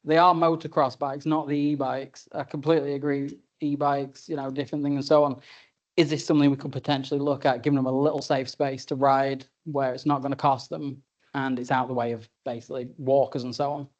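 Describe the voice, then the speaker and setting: A man's voice: mid-range voice, little pitch movement, a little fast, muffled recording. A man speaking as a councillor at a council meeting, asking a question in a plain, informal way. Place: council chamber.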